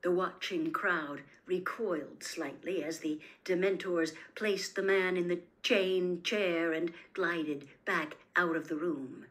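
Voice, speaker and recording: A woman's voice: fairly low pitch, fairly even intonation, slow, hardly any pauses, a bit bright. A woman reading a story aloud as scripted audiobook-style narration.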